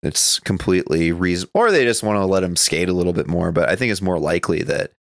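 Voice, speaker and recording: A man's voice: deep voice, animated, quick pace, fairly bright tone, no echo, studio quality. A man co-hosting a podcast, chatting casually about sports in a rambling, conversational way. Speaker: podcast co-host.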